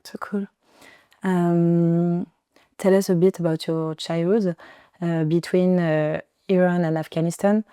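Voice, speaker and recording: A woman's voice: on the low side, fairly even intonation, measured pace, dark tone, clean tone, dry recording, clean studio sound. A woman hosting an interview podcast, asking questions in a hesitant, friendly way. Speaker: podcast host.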